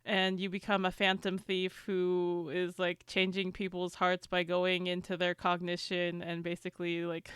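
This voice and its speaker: A woman's voice: lowish voice, fairly bright tone, smooth, dry recording, clean studio sound. A woman chatting casually and explaining things in a rambling, slangy way, swearing freely. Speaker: podcast panelist. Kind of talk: casual chat.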